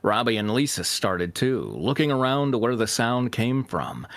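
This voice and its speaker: Male amateur narrator, animated and amused, middle-pitched, dry close sound. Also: moderate pace, no echo. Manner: amused, reacting to the text. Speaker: narrator of a fanfiction reading show. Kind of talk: comic story read-aloud with casual banter.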